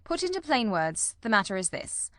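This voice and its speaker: Woman as male Victorian detective, brisk, incisive, dry wit, formal, commanding, close dry audiobook sound. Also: mid-range voice, very animated, a bit bright, no echo. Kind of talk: dramatised reading of a Victorian detective novel.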